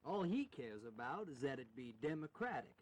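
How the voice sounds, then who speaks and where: An actor playing an earnest, persuasive eighteenth-century state governor, urgent and pleading, in a historical audio drama. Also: male voice, mid-range voice, very animated, normal speed, a little hiss or hum, lightly acted.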